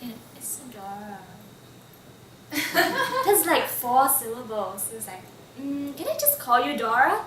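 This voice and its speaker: A woman's voice: sits high, very animated, measured pace, a bit bright. A woman, casually telling personal stories and full of "like" fillers. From Singapore. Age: 20s. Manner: chatty, lots of "like" fillers. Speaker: interviewee. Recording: roomy room, mic at a distance, faint ambience.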